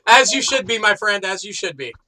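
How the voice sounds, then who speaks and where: A man's voice: sits high, expressive, fairly quick, pushed and bright. A man in casual joking group chat, wry and foul-mouthed. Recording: distant mic, clearly noisy background.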